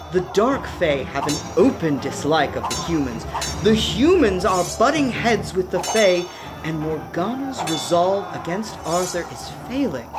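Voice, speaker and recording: A woman giving dramatic, deliberate scripted narration for a radio play. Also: lowish voice.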